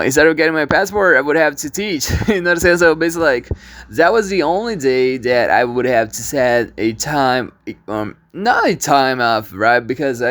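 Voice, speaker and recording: A young man from Brazil chatting casually about his teaching days, full of fillers, restarts and light laughs. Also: medium pitch. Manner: rambling, many fillers and restarts. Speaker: vlogger and online teacher. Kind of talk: casual vlog monologue.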